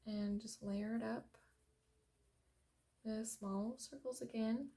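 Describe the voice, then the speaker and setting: A young woman hosting a coloring tutorial in a very quiet, soft-spoken voice, hesitant and apologetic, with many ums as she works. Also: fairly high pitch, fairly even intonation, slow. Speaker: art tutorial host. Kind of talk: unscripted coloring tutorial narration.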